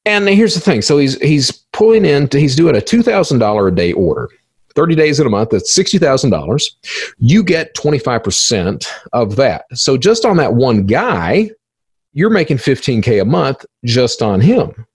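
A man's voice: mid-range voice, very animated, moderate pace, breathy edge, no echo. A man hosting a podcast in a casual interview style, speaking bluntly and colloquially with some swearing. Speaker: podcast host.

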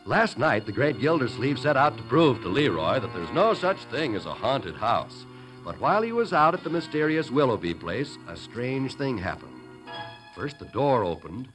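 A man's voice: medium pitch, sing-song, moderate pace, over music, rough recording. A man announcing from a script in polished, dramatic 1940s radio style.

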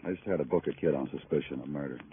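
Deep-voiced, brusque American police detective. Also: male voice, rapid-fire. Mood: irritated. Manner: brusque, wry. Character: weary, sardonic male police detective. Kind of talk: 1950s radio crime drama acting. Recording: tinny, hissy old radio recording.

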